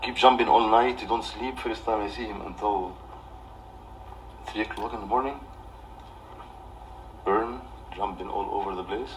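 An Egyptian-accented man speaks in a low voice, off the cuff to a livestream. He is halting and defensive, repeating himself as he argues. The mic sits some way off in a room, with light noise behind him. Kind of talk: off-the-cuff livestream rant to camera. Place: small living room indoors. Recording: distant mic with background noise.

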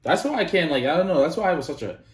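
A man's voice: fairly high pitch, rapid-fire, roomy sound. A man in his thirties hosting a reaction video, talking casually and full of "like" fillers. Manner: rambling, many "like" fillers, animated when disagreeing. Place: small indoor room.

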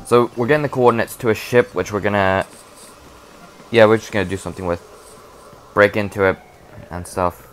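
A man's voice: on the low side, fairly even intonation, fairly quick, pauses often, a little hiss or hum. A man giving casual let's play commentary, rambling as he plays and losing his voice. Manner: rambling, talks through his moves, restarts often. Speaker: gameplay commentator.

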